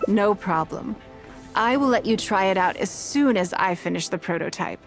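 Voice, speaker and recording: A woman voicing a composed, wealthy woman leader in a game, measured and authoritative. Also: medium pitch, lively intonation, close to an everyday voice. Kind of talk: game voice acting. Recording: game dialogue over faint music.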